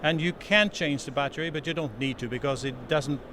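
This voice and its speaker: A man's voice: fairly high pitch, brisk. A middle-aged man from Norway with a Norwegian accent, explaining a product earnestly in a trade show interview. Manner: earnest, slightly non-native phrasing with restarts. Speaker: company representative. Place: exhibition stand indoors. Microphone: handheld interview mic. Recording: noisy trade show floor.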